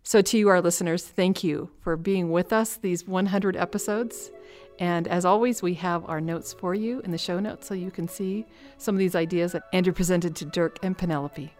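A woman's voice: fairly low pitch, fairly even intonation, moderate pace, darkish tone, soft background music. A woman co-hosting an educational podcast, friendly and affirming, who laughs easily. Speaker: podcast co-host. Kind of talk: casual educational podcast chat.

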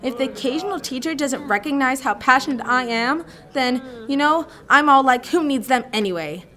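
Lady doing a dreamy teen girl who won't stop gushing, kinda high voice, radio play. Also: expressive, moderate pace, pushed and bright. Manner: gushing, says "like" and "you know" often. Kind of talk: radio drama acting.

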